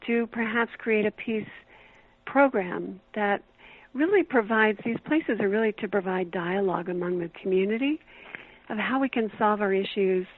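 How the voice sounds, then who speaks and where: A woman's voice: mid-range voice, normal speed. A woman author calling in as a radio guest, explaining her ideas in an upbeat way. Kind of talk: interview answers. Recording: tinny phone line.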